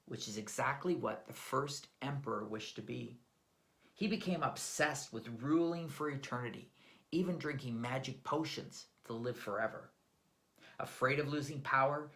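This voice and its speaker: A man reading his own children's book aloud in expressive storybook narration. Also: medium pitch, husky.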